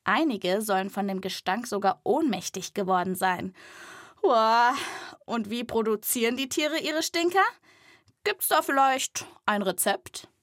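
A woman with a fairly high voice narrates for young listeners. She tells the story playfully and vividly, as a children's podcast host. The recording is close and dry. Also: animated, unhurried, no room sound. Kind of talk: scripted narration addressed to children.

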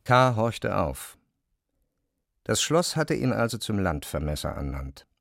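A man's voice: lowish voice, very animated, slow pace, vocal fry, a bit bright, dry recording. A man reading scripted literary narration as the narrator of a classic novel, recorded close in a studio.

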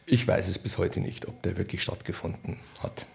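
A man with a low voice and very heavy creak speaks with a Bavarian accent. He answers interview questions conversationally, in a wry and colloquial manner. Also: normal speed, darkish tone. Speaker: radio play author and director being interviewed.

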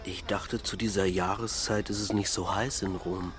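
An actor playing a weary, brooding middle-aged husband in a radio drama, speaking listlessly in short phrases. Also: male voice, sits low, steady tone, normal speed, lightly acted. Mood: weary, complaining.

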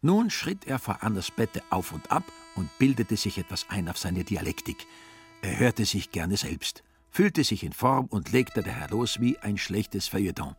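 A man's voice: on the low side, sing-song, unhurried, faint music behind. A man delivering scripted, ironic literary narration as the storyteller of a radio play.

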